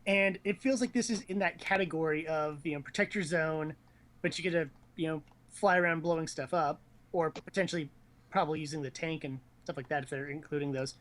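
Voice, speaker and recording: A man's voice: fairly high pitch, fairly even intonation, fairly quick, fairly bright tone. A man hosting a casual gaming chat, rambling and thinking aloud with blunt opinions. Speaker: host of a gaming news show.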